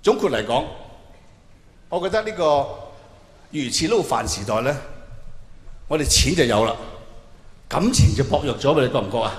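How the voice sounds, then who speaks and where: A man with a Hong Kong Cantonese accent performing an animated, colloquial stand-up monologue to a live audience, acting out bits of dialogue. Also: on the high side. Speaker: stand-up comedian. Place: large indoor venue with long reverb. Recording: echoey hall, live stage, faint noise.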